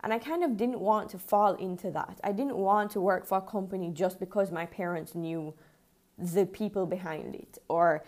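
A woman's voice: medium pitch, moderate pace, darkish tone. A woman, talking informally and chattily as the host of a casual personal podcast. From Jamaica. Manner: informal, chatty, mild swearing.

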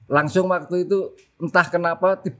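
A man with a Surabaya Javanese accent, talking casually as a journalist guest, relaxed and joking. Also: sits high, normal speed. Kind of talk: casual interview chat.

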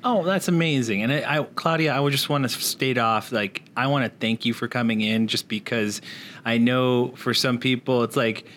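A man's voice: medium pitch, normal speed, a bit bright, a little hiss or hum. A man hosting a podcast, talking with guests in a friendly, enthusiastic way. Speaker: podcast host. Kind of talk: casual interview chat.

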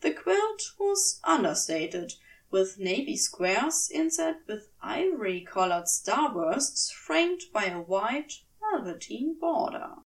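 Female fiction narrator: high voice, unhurried, expressive, slightly back from the mic. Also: distant mic. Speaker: podfic reader. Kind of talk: expressive scripted story narration.

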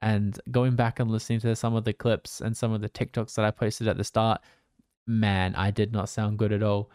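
A man, a streamer, giving casual advice in a relaxed, rambling way with fillers and restarts, talking into a condenser desk mic. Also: fairly low pitch, moderate pace, clean tone, no room sound, clean studio sound. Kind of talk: casual advice talk to camera. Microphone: condenser desk mic with pop filter.

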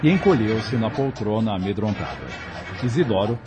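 A man narrating a radio drama in a measured, steady way. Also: lowish voice, music in the background. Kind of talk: scripted narration.

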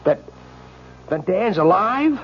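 Scared guilty joker in old radio play, raspy, stammery, pitch all over the place, dull lo-fi sound. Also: male voice, sing-song, slow pace, a little hiss or hum, exaggerated voice. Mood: nervous. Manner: stammering, pleading, excuse-making. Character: scared, guilty practical joker begging for mercy. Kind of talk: 1970s radio drama acting. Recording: dull lo-fi 1970s radio recording.

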